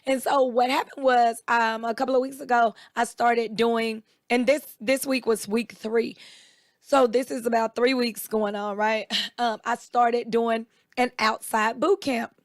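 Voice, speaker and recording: A woman with a raspy, slightly hoarse voice, chatting casually as co-host and acting out the people in her stories. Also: a little high, measured pace, dry recording, clean studio sound. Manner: animated storyteller, quotes people in raised voices. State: recovering voice, a bit hoarse. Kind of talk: casual chat.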